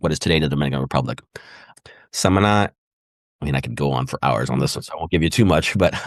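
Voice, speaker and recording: Fast-talking deep-voiced male historian. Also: dry recording, studio quality. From Puerto Rico. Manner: thoughtful, repeats "right?" and "again". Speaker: guest historian. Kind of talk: conversational interview answers.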